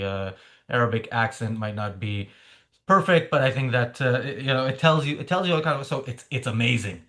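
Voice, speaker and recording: A man's voice: mid-range voice, fairly bright tone. A man hosting a political commentary podcast, talking casually with a wry, sarcastic edge and plenty of hesitations. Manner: wry, sarcastic, many filler sounds. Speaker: podcast host.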